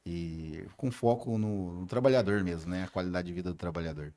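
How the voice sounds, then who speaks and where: A man's voice: sits low, fairly quick, dark tone. A Brazilian man answering questions in a conversational way, as a political candidate being interviewed.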